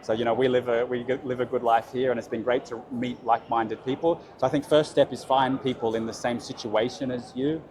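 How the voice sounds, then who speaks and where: Young Australian man, expat guest, brisk and chatty in a relaxed interview. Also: mid-range voice, crowd noise. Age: young adult. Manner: upbeat, quick affirmations, frequent fillers. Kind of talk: casual interview chat.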